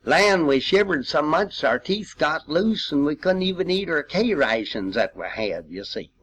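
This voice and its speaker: A man's voice: fairly high pitch, sing-song, moderate pace, a bit bright, breathy edge, poor audio. An elderly man, a war veteran reminiscing informally in a folksy, rambling way with old-time expressions. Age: 80s or older. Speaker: World War II veteran sharing memories.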